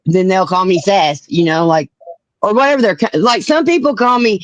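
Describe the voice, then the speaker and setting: A man's voice: high voice, moderate pace, dry recording, highs cut off. A man co-hosting a casual livestream panel, giggly and rambling, often restarting his sentences. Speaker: co-host.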